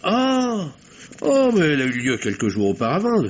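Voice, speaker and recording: A flustered, evasive murder suspect in an old radio mystery. His fairly high voice swings wildly as he stammers and exclaims theatrically. The sound is muffled. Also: huge pitch swings, normal speed. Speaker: actor in a radio play. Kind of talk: 1950s French radio mystery acting. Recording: muffled vintage radio recording.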